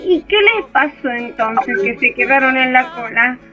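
A performer playing a curious present-day listener who questions the story in a radio drama. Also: female voice, sits high, lively intonation, measured pace, pushed and bright, lo-fi sound, faint music behind, full character voice. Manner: inquisitive, doubtful.

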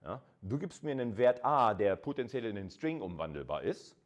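Male university lecturer, lowish voice with lively swings, informal and direct with listeners. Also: fairly quick. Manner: conversational, addresses listeners directly, checks in with short tags.

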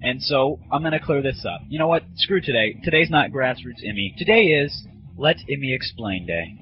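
A male comedy show host with a medium-pitched voice. He talks casually and crudely, with sarcasm, on a muffled, noisy recording. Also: very animated, moderate pace. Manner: sarcastic, irreverent.

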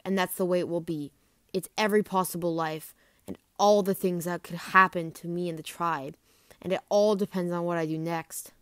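A woman's voice: fairly low pitch, dry recording, natural voice. Woman as a young female dragon seer, earnest, insistent, dry recording, fantasy audition.

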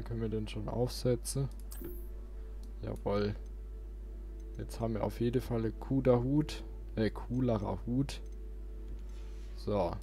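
A man's voice: on the low side, vocal fry, dark tone. A man giving casual gameplay commentary as a let's play host, rambling and thinking aloud. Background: game music.

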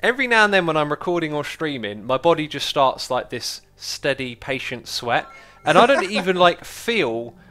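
A man's voice: a little high, sing-song, normal speed, hardly any pauses, pushed and bright, faint music behind. A man with a British accent, chatting casually as a livestreamer in a friendly, rambling, anecdotal way.

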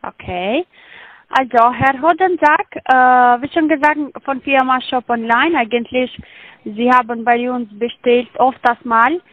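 A woman's voice: high-pitched, steady tone, measured pace, no room sound. A woman making a scam sales call in broken grammar, with a hesitant manner. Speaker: scam caller. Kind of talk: phone sales pitch. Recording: thin phone line, dry.

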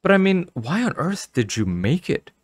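A man's voice: on the high side, very animated, normal speed, husky, no echo, voice pushed up. A man voicing a reluctant, self-conscious schoolgirl in a storybook, speaking in a hesitant, awkward way. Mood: awkward, apologetic.

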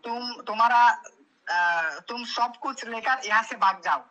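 A woman's voice: fairly high pitch, rapid-fire, bright, forceful tone, dull audio. A woman from Bangladesh with a Bangladeshi accent, a phone caller speaking hesitant, broken English with many fillers and restarts. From Bangladesh (Chattogram). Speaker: phone caller, local witness. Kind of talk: halting phone interview, partly read from a script.

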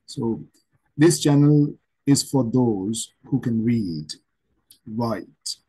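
A middle-aged man from Pakistan with a Pakistani accent, a native Urdu speaker, gives an online English lesson from a home room, explaining slowly and repeating himself. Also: medium pitch, warm tone, breathy edge, poor audio. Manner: slow, repetitive explaining with many pauses. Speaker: English teacher. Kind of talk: online lecture to camera. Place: home room with plants and shelves.

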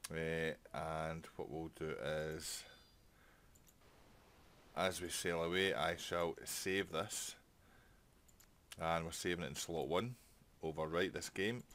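A man with a low-pitched voice hosts a casual let's play. He chats informally and thinks out loud as he plays. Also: steady tone, slow pace, long pauses. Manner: chatty, thinking aloud while playing. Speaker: gaming channel host.

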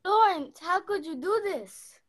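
An indignant lady of the manor in a radio mystery, acted by a young woman. Her very high voice swings expressively as she speaks, haughty and unhurried. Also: lively intonation. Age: young adult. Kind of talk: radio play acting.

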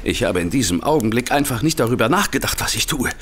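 An actor playing a panicky, guilt-ridden hotel manager turned thief in an audio drama, agitated, halting and pleading. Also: male voice, medium pitch, expressive, a bit bright, a little hiss or hum, lightly acted. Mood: confessing, shaken. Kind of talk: German audio drama acting.

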